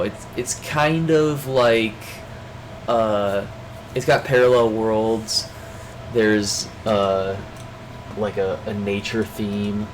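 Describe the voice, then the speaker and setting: Stuffy young guy rambling on about his day, kinda low voice, lots of likes and restarts, ac noise humming behind him. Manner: rambling, many fillers and restarts. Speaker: vlogger. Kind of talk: casual off-the-cuff daily check-in.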